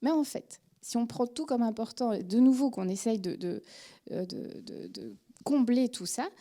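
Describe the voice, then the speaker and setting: Chatty French woman lecturer with a mellow voice. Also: a little high, normal speed. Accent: French with a Belgian tinge. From France. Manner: chatty and animated, with many filler words and restarts. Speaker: psychologist giving a public talk on parenting. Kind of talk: informal lecture to an audience.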